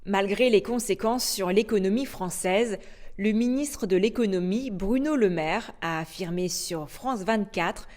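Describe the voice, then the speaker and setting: A woman anchoring a news bulletin, reading in a steady, formal way. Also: mid-range voice, a little slow. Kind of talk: scripted news narration.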